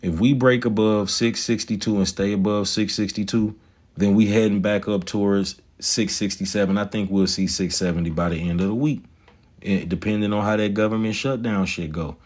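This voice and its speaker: Swaggering low-voiced man talking stocks. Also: fairly even intonation, moderate pace, a little mellow. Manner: swaggering, profane, slangy, talks to chat. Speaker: stock market livestreamer. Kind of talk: casual livestream chat about stocks.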